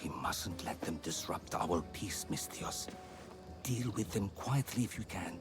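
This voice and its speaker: An actor playing a cautious, serious leader of refugees in a video game, formal and deliberate. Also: male voice, sits low, music in the background. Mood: cautious, purposeful. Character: grave, cautious male refugee leader of ancient Greece. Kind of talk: video game cutscene acting.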